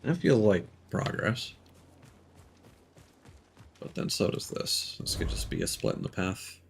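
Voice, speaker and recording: A man with a lowish voice commentates a game on a live stream, talking to himself as he plays. His pitch leaps around a lot, and his speech is loose and rambling, strung together with "like"s. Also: lively intonation, a little slow, vocal fry, a little hiss or hum. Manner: thinks aloud, loose and rambling with many "like"s.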